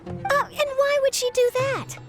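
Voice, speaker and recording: A woman's voice: extremely high pitch, unhurried. A woman voicing an earnest, easily flustered young cat-girl courier in an anime game. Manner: expressive, polite, easily flustered. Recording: game music behind the voice.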